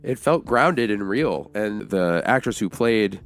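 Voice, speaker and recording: A man's voice: fairly low pitch, expressive, unhurried. A man giving an enthusiastic, informal film review in casual conversation as a co-host. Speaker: co-host of a movie review show.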